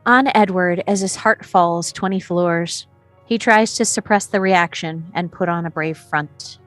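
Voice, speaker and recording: A woman's voice: on the low side, unhurried, a bit bright, dry recording, soft background music. Woman narrating screenplay directions, dry sound. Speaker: narrator reading stage directions.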